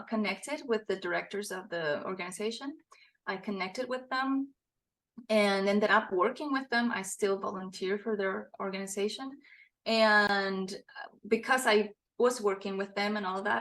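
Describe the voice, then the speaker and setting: A woman from Costa Rica speaks as a podcast guest and author, pitch in the middle of the usual range for women. She tells her story earnestly in easygoing conversation and laughs often along the way. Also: a bit bright, poor audio. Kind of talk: casual interview chat.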